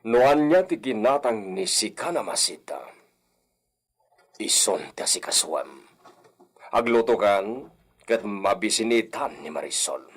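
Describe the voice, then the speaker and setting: A man's voice: lowish voice, sing-song, slow pace, pauses often, slightly rough, heavily acted. An actor playing a lordly señor and father in an audio drama, drawing out words and sprinkling in Spanish. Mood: curt, suspicious. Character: haughty Spanish-speckled señor, doting father of little girl. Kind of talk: Filipino audio drama acting.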